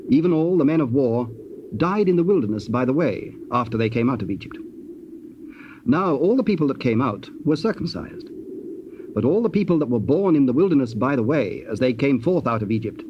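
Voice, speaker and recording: A man narrating a dramatized scripture, formal and measured in his storytelling. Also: a little high. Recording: dull old cassette, faint noise.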